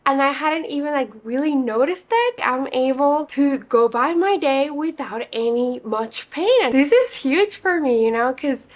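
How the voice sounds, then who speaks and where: A young woman in her twenties with a slightly raspy, hoarse voice, chatting casually while getting ready, full of fillers. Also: sits high, lively intonation, measured pace, few pauses. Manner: chatty, many fillers like "like" and "you know". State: hoarse from singing. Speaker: beauty vlogger. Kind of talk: casual get-ready-with-me chat.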